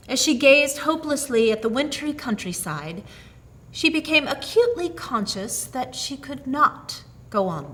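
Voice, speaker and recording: A woman storyteller reading a tale expressively, with dramatic, deliberate phrasing. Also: high voice, fairly bright tone.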